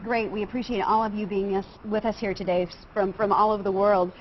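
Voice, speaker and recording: A woman hosting a video call, briskly introducing guests and asking questions. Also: mid-range voice, level tone, a little hiss or hum. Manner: brisk, inviting, calls on guests by name. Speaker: host. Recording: dull lo-fi video call audio.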